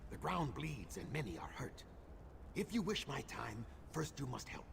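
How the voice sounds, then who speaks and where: A man voicing a grave, bitter lizard-folk male healer in a video game, speaking in a measured, solemn way. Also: mid-range voice, big pitch swings, gravelly. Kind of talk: video game character dialogue. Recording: game audio over background noise.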